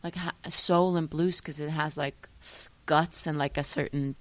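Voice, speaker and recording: A young woman from Switzerland chats about her music in a relaxed interview. Her voice sits on the low side and sounds close and dry, with no room around it. She talks in an animated way, breaking off and restarting as she thinks. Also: unhurried, no echo. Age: young adult. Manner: animated, trails off and restarts often. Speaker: musician guest. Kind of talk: casual interview chat.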